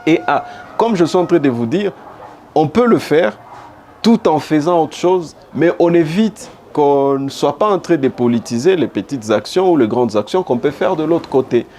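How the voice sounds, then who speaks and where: A man's voice: fairly high pitch, lively intonation, normal speed, darkish tone. A man from DR Congo with a Congolese accent, giving emphatic, rhetorically repetitive answers as a politician in a broadcast interview. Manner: emphatic, repeats phrases for rhetorical effect. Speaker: politician and former humanitarian worker being interviewed. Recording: background noise and room ambience.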